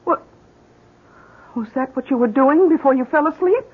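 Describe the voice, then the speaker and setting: An actress playing an anxious, caring wife in 1970s radio drama style, earnest and hesitant. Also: female voice, high voice, normal speed, natural voice. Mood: worried. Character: Sarah, anxious, caring wife of a troubled artist. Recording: dull 1970s radio recording.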